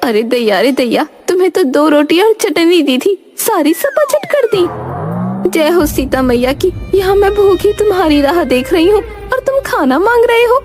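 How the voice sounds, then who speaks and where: A woman voicing a scolding, exclaiming village wife in an animated folk tale. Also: very high-pitched, unhurried, over music, full character voice. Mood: nagging, indignant. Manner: exclaiming, scolding, repeats a pious catchphrase. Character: hungry, nagging, pious village wife.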